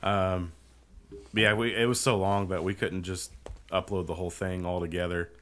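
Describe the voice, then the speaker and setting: A man's voice: low voice, level tone, moderate pace, fairly bright tone. A man co-hosting a casual podcast, loose and jokey, who laughs often. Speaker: podcast co-host.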